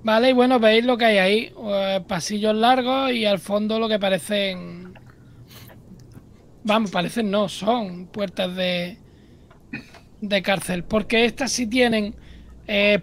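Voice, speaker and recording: A calm game master who walks the players through each room in plain, steady speech. Middle pitch, nothing pushed. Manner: calm, explanatory. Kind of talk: narrating a tabletop game.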